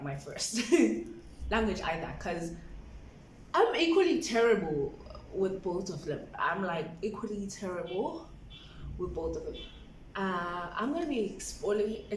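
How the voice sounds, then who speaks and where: Young woman, chatty and rambling, roomy room, camera mic a little distant, faint room hum. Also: medium pitch. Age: 20s. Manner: chatty, rambling, fills with "like", laughs often. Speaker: vlogger. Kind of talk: casual vlog chat. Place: indoor room at home. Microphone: camera on a stand.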